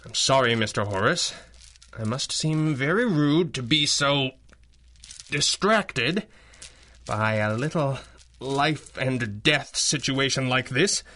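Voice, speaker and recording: Suave, bright-voiced masked hero. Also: male voice, mid-range voice, expressive, takes it slowly. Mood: courteous, wry. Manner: suave, dryly ironic, formally polite. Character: masked male crime-fighting hero, cool, wry and confident. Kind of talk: pulp radio drama acting.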